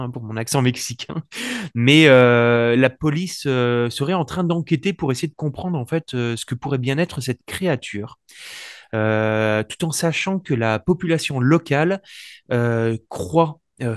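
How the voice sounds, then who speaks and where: A man's voice: medium pitch, no echo, clean studio sound. A man co-hosting a paranormal news show, talking casually and hesitantly with many fillers and restarts. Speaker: co-host. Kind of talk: casual discussion.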